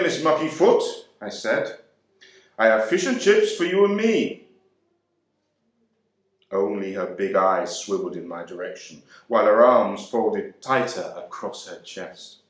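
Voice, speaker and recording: A man giving a first-person dramatic reading of a novel monologue, measured and expressive. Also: lowish voice. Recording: roomy echo.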